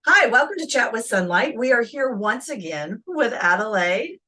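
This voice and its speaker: A woman's voice: on the high side, very animated, moderate pace, over music. A woman speaking as the host of an interview, introducing and questioning her guest in a conversational style.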